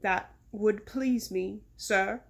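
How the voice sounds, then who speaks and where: A woman's voice: mid-range voice, very slow, creaky, full character voice. A woman voicing a tiny, obedient male chauffeur in a storybook, deferential and terse. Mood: meek, obedient.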